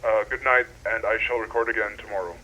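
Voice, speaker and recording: A man playing an earnest, wonderstruck deep-sea researcher speaking slightly formally into a recorded log for an analog horror drama. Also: steady tone, fast talker, sharp tone, low-quality recording. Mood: calm, signing off. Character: excitable male deep-sea researcher keeping an audio log.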